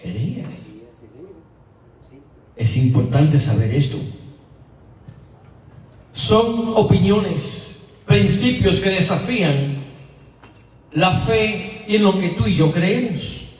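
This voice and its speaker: A man with an American accent, speaking Spanish as a second language, preaching a sermon as pastor in a slow, emphatic teaching manner that repeats key words. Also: fairly high pitch, darkish tone. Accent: American English. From United States. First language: English. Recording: echoey hall, voice from far off.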